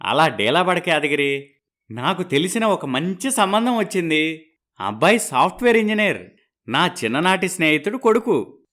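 A man's voice: on the high side, very animated, normal speed, a bit bright. A man playing a breezy, persuasive middle-aged matchmaker with a scheming streak in a dramatized moral story.

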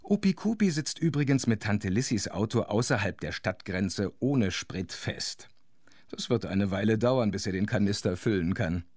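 A male narrator of a children's audio story. His low, husky voice is very animated and playful, sharing conspiratorial asides, recorded dry and close. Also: normal speed, no room sound.

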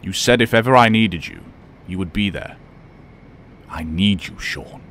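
An actor playing a calm, authoritative professor and mentor in an audio drama, speaking in a measured, formal way. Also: male voice, deep voice, a little hiss or hum. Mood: measured, persuasive.

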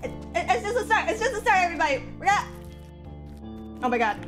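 High-voiced woman streamer with a stuffy cold, casual chat with bursts of yelling, clear game music under her. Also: quick pace. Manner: swings from mumbling to loud excited yelling.

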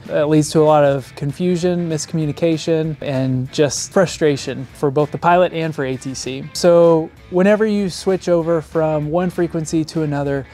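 A man in his thirties presents a lesson as an instructor, plain-spoken and explanatory. His voice is mellow and sits a little higher than average. He is miked close indoors, with some music behind him. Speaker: flight instructor. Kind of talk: scripted instructional presentation to camera. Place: indoor studio set, seated. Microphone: lapel mic.

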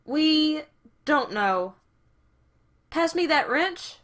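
A woman's voice: sits high, animated, extremely slow, a bit bright, heavily acted. A woman playing a brash, blunt, foul-mouthed mechanic in an audio drama. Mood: matter-of-fact.

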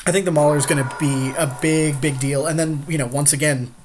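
Man, slightly high voice, chatty gaming co-host, agreeable, conversational. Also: steady tone, fairly quick, slight background noise. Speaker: podcast co-host playing a card game. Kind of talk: casual gameplay commentary.

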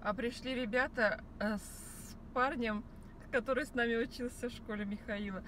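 A woman's voice: on the high side, fairly even intonation, normal speed, a bit bright. A woman in her thirties chatting casually to camera from a parked car, rambling with many fillers and restarts. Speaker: vlogger. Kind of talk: casual chat. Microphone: phone camera on the dashboard. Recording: car with noise behind.

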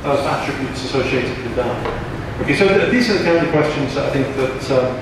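A man's voice: medium pitch, expressive, brisk. Middle-aged man lecturing in an echoey hall. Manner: reflective, poses rhetorical questions. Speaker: keynote lecturer. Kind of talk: academic lecture, speaking off the cuff. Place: large conference lecture hall, indoors. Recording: echoey noisy hall, distant microphone.

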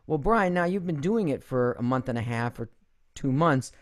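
A man's voice: medium pitch, expressive, normal speed. A middle-aged man coaching in a conversational way as host, speaking close to a studio mic. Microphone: studio condenser mic up close.

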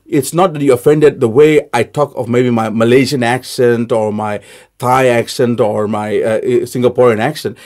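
A man's voice: mid-range voice, steady tone, normal speed, a little mellow. A man who is a pastor, speaking emphatically in a studio interview and asking rhetorical questions. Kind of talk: conversational interview.

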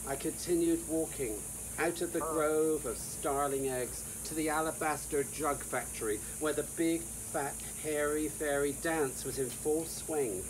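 A man in his sixties or older reads a whimsical storybook aloud in a theatrical, deliberate manner. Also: on the high side, rough recording. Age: 60s or older. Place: outdoors in a sunny garden. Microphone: camera a few feet away. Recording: outdoor hiss with insect noise.

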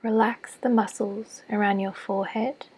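A woman leads a guided body scan meditation in a soft, breathy near-whisper, giving gentle, measured instructions with long pauses. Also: mid-range voice, level tone. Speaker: clinical psychologist leading a guided meditation.

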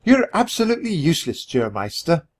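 A man's voice: high-pitched, sing-song, moderate pace, pitched above the performer's own voice. A man voicing a sassy, scheming female mouse reporter in a storybook, with a teasing, dismissive manner. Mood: scornful.

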